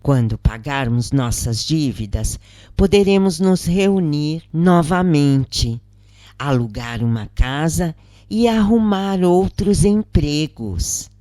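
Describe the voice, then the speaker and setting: Narrator as a slow-spoken, patient Brazilian mother. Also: mid-range voice, lively intonation, warm tone, dry recording, studio quality. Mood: resigned, advising. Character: weary, patient working mother advising her daughter.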